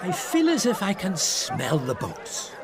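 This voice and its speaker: A sneering, chuckling party guest. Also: male voice, high voice, wildly swooping pitch, unhurried, crowd noise, noisy background, exaggerated voice. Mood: mocking. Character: mocking older man, snobbish party guest.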